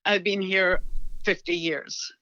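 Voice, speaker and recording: A woman's voice: lowish voice, fairly even intonation, takes it slowly, bright, forceful tone, dry recording, muffled recording. Older woman with a faint Scottish lilt. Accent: slight Scottish. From Scotland. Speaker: guest artist. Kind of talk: podcast interview.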